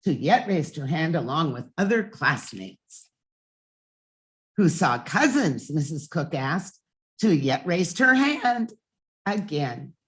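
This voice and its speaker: A woman reads a children's story aloud at bedtime. Her voice sits a little low and swings widely in pitch. She takes her time, leaving deliberate pauses and leaning on key words. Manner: expressive, emphatic reading with deliberate pauses.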